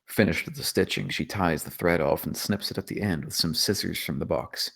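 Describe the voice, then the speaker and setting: A man with a deep voice reads screenplay action aloud. He serves as narrator, setting scenes and describing what characters do in scripted prose. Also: fairly quick, creaky, a bit bright. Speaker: stage-direction narrator.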